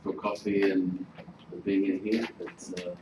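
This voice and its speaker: A man's voice: sits low, flat delivery, a little slow. Chatty man in an echoey room. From Greece. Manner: chatty, informal, filler-heavy. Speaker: hotel general manager giving a workshop. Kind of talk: relaxed presentation to a class. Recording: roomy, noisy room recording.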